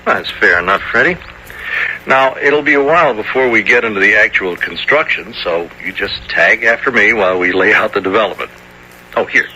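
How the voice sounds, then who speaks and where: An actor in a 1970s radio drama playing a brisk, patronizing construction boss who gives orders dismissively. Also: male voice, lowish voice, bright, forceful tone. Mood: brisk, busy. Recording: faintly noisy old radio broadcast.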